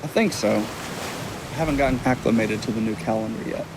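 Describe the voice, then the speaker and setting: A man's voice: lowish voice, normal speed, close to an everyday voice. A man acting in an audio drama as a wistful, curious traveling stage magician, talking in a casual, musing way. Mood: pensive. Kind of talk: audio drama dialogue, naturalistic. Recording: steady sea and wave noise behind.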